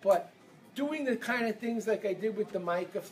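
Energetic New man leading a seminar. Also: high voice, moderate pace. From Brooklyn, New York. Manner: energetic, leads audience call-and-response. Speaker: seminar speaker. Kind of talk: live motivational seminar talk. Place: event room with a live audience.

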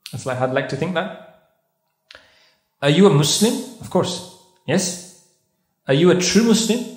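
Guy preaching to a crowd in a mosque, kinda high voice, asks the room questions, lots of echo. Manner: rhetorical questions to the audience, recites Arabic verses. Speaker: visiting speaker at a mosque conference. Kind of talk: sermon-style lecture. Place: carpeted mosque prayer hall, indoors. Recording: echoey mosque hall.

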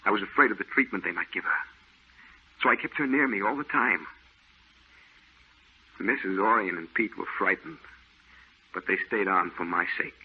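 A guilt-ridden, fearful painter in vintage radio drama. He speaks low and earnestly with a husky edge, through a thin, phone-like recording. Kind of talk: old-time radio drama acting. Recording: muffled, narrow old radio recording.

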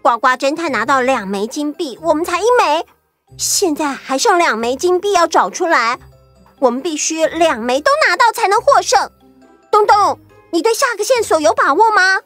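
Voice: very high voice, expressive, moderate pace, fairly bright tone. A performer playing a feisty, blunt little detective's assistant in a children's audio story. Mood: startled, determined. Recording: music bed behind voice.

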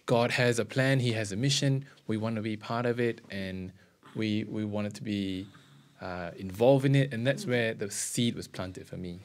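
A man in his thirties from Australia, explaining and giving testimony as a missionary in an onstage interview with a handheld mic. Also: on the low side. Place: indoor stage with curtain backdrop. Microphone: handheld microphone.

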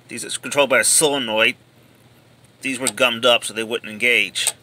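A man giving casual, plainspoken commentary on a handheld video, thinking aloud in a halting way as a hobbyist showing a repair. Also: medium pitch, steady tone, bright, forceful tone, slight background noise. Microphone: handheld phone or camera.

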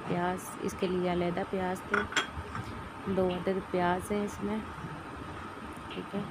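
Home cook, female; flat and lowish, steady explaining tone, phone-recorded over a loud frying pan. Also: slow pace. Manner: steady, explains each step, often adds a checking "theek hai". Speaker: cooking channel host. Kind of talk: casual cooking demonstration. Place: home kitchen, over a stove. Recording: loud kitchen noise behind, sizzling frying.

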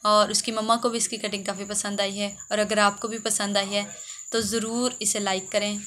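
Female hairdresser, tutorial voice-over. Bright, forceful tone, mid pitch, repetitive step-by-step explaining. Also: flat delivery, moderate pace, slight background noise. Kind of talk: instructional voice-over for a how-to video.

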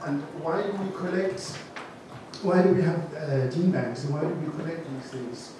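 A man's voice: a little high, normal speed, recorded from a distance, background noise. A middle-aged man with a Danish accent gives an informal seminar talk on a handheld mic to a seated audience, often correcting himself. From Denmark. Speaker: gene bank speaker. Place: large room with seated audience. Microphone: handheld mic, far camera. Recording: echoey room recorded from afar.

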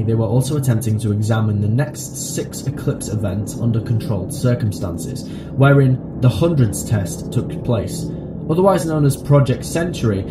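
A man reading scripted horror narration like a documentary case file, in a measured, formal, ominous manner. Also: medium pitch. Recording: echoey voice-over under loud music. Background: loud music.

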